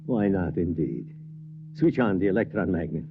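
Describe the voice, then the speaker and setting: Detached skeptical doctor, 50s radio drama, lowish voice, measured, noisy old recording. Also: male voice, very animated, creaky, narrow, tinny audio, exaggerated voice. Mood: focused. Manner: measured, dry, clinical. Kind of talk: 1950s radio drama acting.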